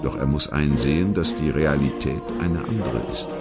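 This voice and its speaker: A man reading scripted historical narration in a measured, articulate and formal manner. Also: very deep voice, over loud music. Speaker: documentary narrator.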